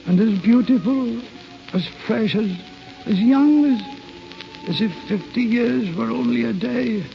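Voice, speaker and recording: An actor playing a frail, remorseful, lovelorn old uncle in an old radio drama, halting and emotional. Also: male voice, very high-pitched, vocal fry, soft background music, heavily acted. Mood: yearning, awed. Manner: halting, emotional, repeating words. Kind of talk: 1940s radio drama acting. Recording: muffled, crackly old radio broadcast.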